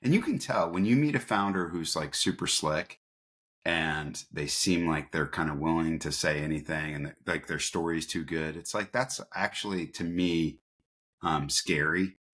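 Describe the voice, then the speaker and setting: A man's voice: low-pitched, moderate pace, fairly bright tone. A man telling stories casually as an interview guest, informal and prone to acting out bits of dialogue. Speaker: interview guest, company founder. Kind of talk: casual conversational storytelling.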